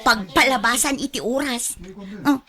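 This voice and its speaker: A woman performs a coaxing, scheming young woman in a radio drama. The voice sits high, with an Ilocano accent and a wheedling manner that suits someone fond of money and gambling. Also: moderate pace, bright, forceful tone. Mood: breezy, coaxing.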